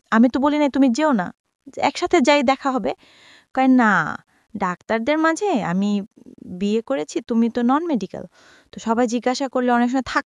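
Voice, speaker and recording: Bangladeshi woman telling her story, higher-pitched voice. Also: dry recording. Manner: acts out reported dialogue while narrating; mixes English words into speech. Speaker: guest telling own life story. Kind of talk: personal storytelling on a radio show.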